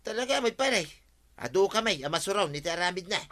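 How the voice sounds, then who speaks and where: Matinis, tsismosong kumpare. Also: male voice, high voice, expressive, fairly quick, a bit bright. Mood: excited. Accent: Ilocano. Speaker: radio drama actor. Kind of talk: broad Ilocano radio comedy drama.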